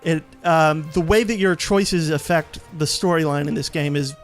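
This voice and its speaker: A man's voice: a little high, moderate pace. A man giving casual gameplay commentary as a let's play host, explaining in a rambling, hesitant way. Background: game menu music.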